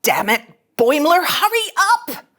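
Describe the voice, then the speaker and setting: A woman playing a cocky, teasing young starship officer in a sci-fi cartoon table read, casual and excitable. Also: sits high, unhurried, exaggerated voice. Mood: urgent, bluffing.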